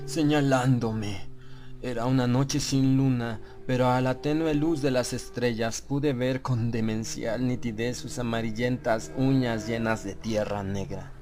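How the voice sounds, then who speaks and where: A man's voice: mid-range voice, a little mellow. Man narrating horror, music behind. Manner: dramatic, measured storytelling with pauses. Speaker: audiobook narrator. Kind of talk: scripted first-person horror narration.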